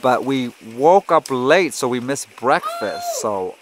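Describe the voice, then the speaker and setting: A man's voice: medium pitch, huge pitch swings, slow, slight background noise. A man in his thirties, a father vlogging a family camping trip outdoors and chatting with his small kids, with the camera held at arm's length. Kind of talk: casual vlog narration to camera. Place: outdoor campsite in the woods.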